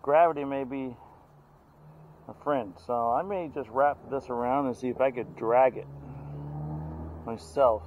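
A man's voice: medium pitch, unhurried, pauses often, phone-quality sound. A middle-aged man talking casually to viewers outdoors in a steep forest as he drags a log, narrating as he works. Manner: chatty, narrates to viewers while hauling. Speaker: vlogger filming trail work. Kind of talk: casual chat while working. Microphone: body-worn action camera. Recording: outdoor camera mic with loud noise.

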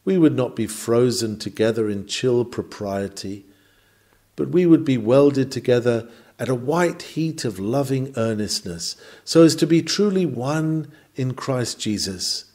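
A man's voice: mid-range voice, lively intonation, a little slow, dark tone. A man reading a scripted sermon in an earnest, exhorting, oratorical manner. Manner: earnest, exhorting, rhetorical delivery. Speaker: sermon reader.

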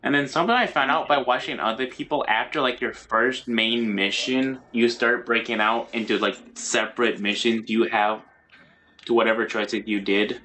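Bright-voiced man chatting while gaming. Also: medium pitch, fairly even intonation, normal speed, slight background noise. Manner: rambling, talks while playing. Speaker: gamer. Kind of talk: casual gaming chat.

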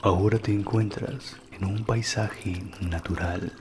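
Slow, low-voiced man guiding meditation. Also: steady tone, a little hiss or hum. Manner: soothing, unhurried instruction. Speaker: guided meditation host. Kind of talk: guided meditation narration. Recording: voice over music and water sounds. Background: relaxation music, running water.

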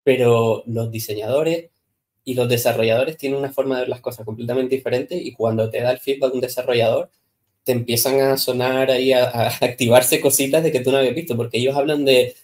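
A man in his thirties from the Canary Islands sits on a studio sofa at a floor-standing mic, talking in a relaxed, chatty way. His voice sits mid-range and barely rises or falls. He laughs easily and slips into short acted-out quotes. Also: mid-range voice, brisk. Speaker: guest. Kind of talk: casual podcast chat. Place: indoor studio lounge set, seated on sofa.

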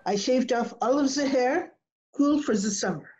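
A woman's voice: sits high, moderate pace, noisy background, lightly acted. A woman playing a cheerful, matter-of-fact vet in old-time radio comedy style over a video call. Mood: cheerfully proud. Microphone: video call computer mic. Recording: slightly dull video-call audio.

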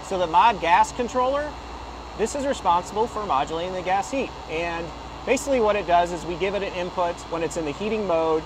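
A man with a fairly high voice explains equipment controls in a loose, conversational way, often stopping to rephrase. He is recording on a phone outdoors beside a working unit. The steady hum of a compressor and fans is clearly heard under his voice. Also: fairly quick. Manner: casual, explanatory, with frequent "you know" and self-corrections. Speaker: HVAC equipment rep giving a tutorial. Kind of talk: unscripted technical walkthrough. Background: running compressor and fan hum.

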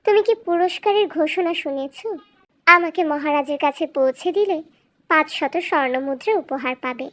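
Woman voicing a tiny, very high-pitched clever bird. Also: moderate pace, a bit bright. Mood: kindly, coaxing. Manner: taunting, coaxing, theatrical. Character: clever, cheeky little talking sapphire bird.

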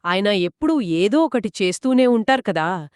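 Female story co-host, curious and reactive, lively conversational delivery, dry studio-like sound. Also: mid-range voice, normal speed, clear, resonant tone, no echo. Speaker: co-host listening and asking questions.

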